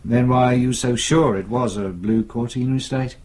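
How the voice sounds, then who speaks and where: An actor playing a patient, probing British police superintendent in a radio crime drama, with measured, courteous questioning. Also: male voice, mid-range voice, fairly even intonation, darkish tone, natural voice. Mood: patient, insistent.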